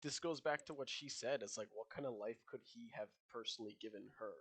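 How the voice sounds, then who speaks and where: A man's voice: medium pitch, fairly quick, fairly bright tone, slightly rough. A young man co-hosting a casual book podcast, talking in a rambling way with lots of fillers and frequent laughs. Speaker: podcast co-host.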